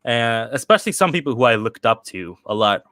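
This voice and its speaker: A man's voice: mid-range voice, very animated, bright, forceful tone, no room sound. Rambling young man, dry close sound. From Sweden. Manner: rambling, halting, with many restarts and fillers. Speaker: channel host answering live chat questions. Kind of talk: casual livestream chat.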